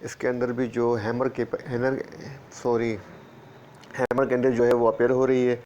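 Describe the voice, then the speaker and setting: A man talks through stock charts with a sore throat. His delivery is flat and monotone, and he repeats each price level. The recording sounds dull and lo-fi. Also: medium pitch, a little slow, slight background noise. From Pakistan. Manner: steady, repeats price levels, calls listeners "janab". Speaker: stock market analyst. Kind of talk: screen-share chart walkthrough.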